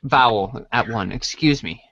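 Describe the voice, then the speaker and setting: A man's voice: mid-range voice, measured pace, a bit bright, dry recording. A man narrating a comic as a read-along storybook, informal and stumbling over words as he reads. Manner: informal, stumbles while reading. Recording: dry, dull band-limited audio.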